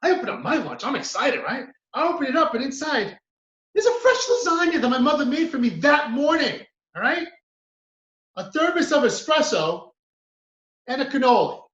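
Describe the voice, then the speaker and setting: A man from the United States doing a stand-up comedy routine. He tells his stories with animated energy and acts out other people's voices. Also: very high voice, normal speed, roomy sound, lo-fi sound. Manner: animated storytelling, acts out his mother and friend.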